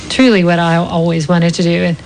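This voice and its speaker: A woman's voice: on the low side, little pitch movement, fairly quick, soft background music. A woman co-hosting a radio show, asking curious questions in an enthusiastic, casual way. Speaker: co-host.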